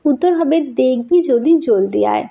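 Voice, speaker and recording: High-pitched woman teaching a school lesson. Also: lively intonation, moderate pace, gravelly, mellow, highs cut off. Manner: instructional, addresses pupils directly. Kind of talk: school lesson, reading out questions and answers.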